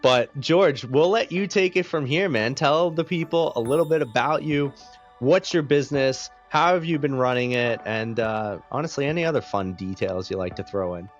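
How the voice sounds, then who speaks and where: A man hosts a podcast in an upbeat, conversational way and asks loose, easygoing questions. His voice sits at an ordinary middle pitch. The sound is dull and lo-fi, with faint music behind him. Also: moderate pace. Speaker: podcast host. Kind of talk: casual podcast hosting.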